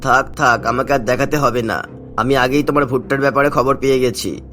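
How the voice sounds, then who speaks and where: A man's voice: medium pitch, steady tone, fairly quick, pushed and bright, soft background music, natural voice. A man voicing a stern, callous village landlord in a cartoon, with a scolding, commanding manner. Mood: brisk. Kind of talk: Bengali cartoon story dialogue.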